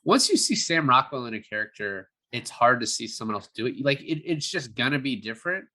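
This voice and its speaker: Bright-voiced man chatting about film. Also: mid-range voice, very animated, fairly quick. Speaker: podcast co-host. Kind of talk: casual film review chat.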